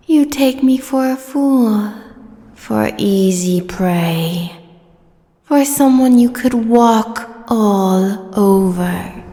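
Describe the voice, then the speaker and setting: A woman playing a proud, menacing giant sea goddess in a fantasy audio roleplay, speaking in a haughty, theatrical way with phrases that trail into breathy whispers. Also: medium pitch, darkish tone. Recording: long cavernous reverb.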